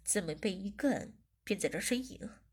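A woman voicing a bossy, domineering man who taunts and gives orders in a lowered, husky voice. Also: animated, moderate pace, a little mellow. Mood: taunting. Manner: taunting, commanding.

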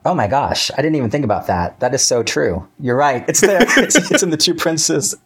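A man being interviewed as a writer, chatting casually in a wry, halting way with self-interruptions and acted-out asides. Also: mid-range voice, lively intonation, slightly rough.

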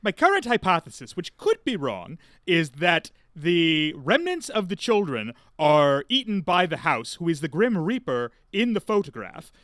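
Male player, lively swooping delivery, close dry sound, curious and thinking out loud over a game. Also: fairly high pitch, moderate pace, hardly any pauses, dry recording. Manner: inquisitive, reasoning aloud. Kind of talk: casual game-table chat.

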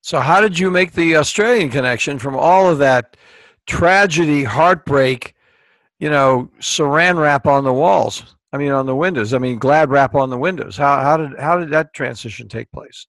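An interviewer asking rambling, gentle questions and reassuring the guest. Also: medium pitch, moderate pace, no echo. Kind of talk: casual interview.

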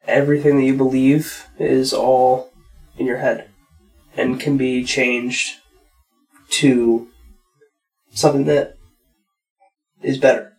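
A man with a mid-pitched, gravelly voice and plenty of vocal fry. He co-hosts a podcast in a relaxed, reflective and plain-spoken way. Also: slow pace, long pauses, soft background music, rough recording. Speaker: podcast co-host. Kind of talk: casual conversation.

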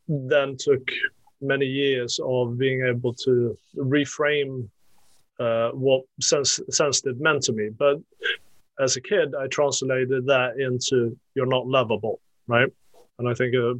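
A man hosting a reflective discussion, speaking in a thoughtful, measured way with pauses and fillers. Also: mid-range voice, dry recording, rough recording. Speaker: host.

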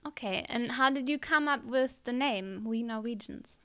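Woman podcast host, a bit high-pitched, friendly, conversational questions, online call. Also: normal speed, fairly bright tone, smooth. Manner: friendly, scripted intro then conversational questions. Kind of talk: podcast interview hosting.

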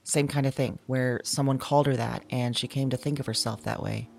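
An actress playing a sleepless, haunted woman who confides her dreams to an audio diary, halting and drowsy, sometimes slipping toward a whisper. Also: very deep voice, fairly even intonation, creaky, darkish tone, a little hiss or hum. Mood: groggy, rattled. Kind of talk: intimate audio drama monologue as recorded diary.

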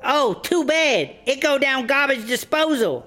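A tyrannical lady boss in a comedy audio drama, taunting in broken English. She draws her words out slowly and swoops mockingly up and down, with faint music behind. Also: high-pitched, lively intonation. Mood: taunting, gloating. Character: tyrannical, taunting foreign startup boss woman.

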